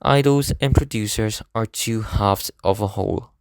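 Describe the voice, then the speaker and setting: A man acts as a serious teenage idol student in an anime fan dub. His voice is smooth and clear in a dry, close recording. He explains things earnestly, in formal, measured sentences. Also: fairly low pitch, no echo, natural voice. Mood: earnest, thoughtful. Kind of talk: scripted anime game fan dub acting.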